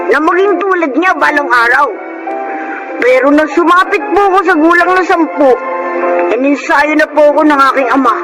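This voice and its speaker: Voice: high-pitched, lightly acted, low-quality recording. A performer playing an earnest boy boxer narrating his life story in a Tagalog radio drama, polite and with a Filipino accent. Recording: radio drama with loud music.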